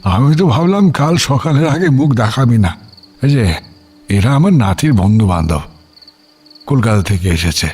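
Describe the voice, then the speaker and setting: A man's voice: medium pitch, expressive, normal speed, pauses often, darkish tone, breathy edge. A man acting an elderly village grandfather in an audio drama, speaking as a reflective, rhetorical storyteller over music and crickets. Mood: welcoming. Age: older. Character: elderly village grandfather recounting forgotten freedom fighters. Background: music bed with cricket sounds.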